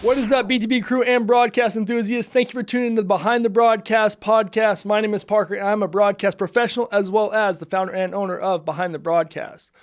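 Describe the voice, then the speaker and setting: A man's voice: high-pitched, steady tone, quick pace, hardly any pauses. A man hosting a podcast, upbeat and friendly in casual interview chat, with frequent fillers. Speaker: podcast host. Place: studio.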